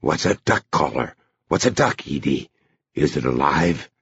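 A man's voice: rumbling low, lively intonation, measured pace, gravelly, a bit bright, slightly rough, no room sound, dull audio, exaggerated voice. A man voicing an anxious, naive and easily confused male character in a story, restless and pleading. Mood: confused, desperate.

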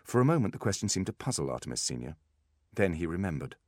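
A man's voice: deep voice, sing-song, fast talker, dry recording, clean studio sound. A man reading a story aloud as scripted audiobook narration, in a dramatic style.

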